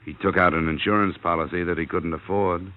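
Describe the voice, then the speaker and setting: An American actor playing a tough, cynical insurance investigator in a radio crime drama, with a clipped, blunt delivery. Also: male voice, low-pitched, fairly even intonation, brisk, lightly acted. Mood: hard, accusing. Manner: clipped, hard-boiled, blunt. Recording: dull vintage radio recording.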